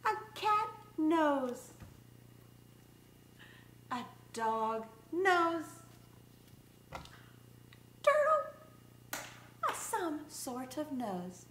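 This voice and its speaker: A middle-aged woman with a fairly high voice reads a children's picture book aloud like a teacher. She goes extremely slowly and expressively, stretching out words for young listeners. The room is echoey, recorded from a short distance. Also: big pitch swings, fairly bright tone. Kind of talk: children's story time read-aloud. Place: small indoor room set up as a classroom. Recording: roomy echo, background room noise.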